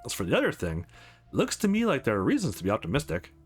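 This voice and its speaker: A man voicing a shrewd, sentimental old lawyer in a visual novel, speaking formally and with measured care. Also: medium pitch, wildly swooping pitch, slightly rough, soft background music, full character voice. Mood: dry, businesslike.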